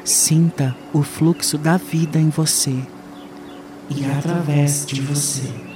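A man leading a guided meditation in a soothing, unhurried way with long pauses. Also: fairly high pitch, steady tone, background noise.

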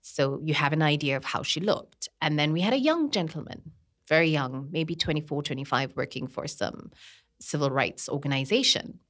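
A Kenyan woman in her forties, telling stories in a relaxed podcast conversation. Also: low voice, moderate pace. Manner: storytelling, animated when quoting others.

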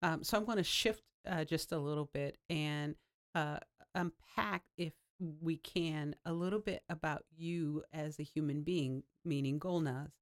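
A woman's voice: low-pitched, unhurried. Affirming woman host, long winding turns. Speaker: interview host. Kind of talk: conversational interview hosting.

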